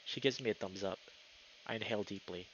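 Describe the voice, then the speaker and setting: Low-voiced male streamer, slightly muffled mic. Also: brisk, fairly bright tone, slight background noise. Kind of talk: live game stream commentary and reading visual novel text aloud. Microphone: streaming mic with noise filtering.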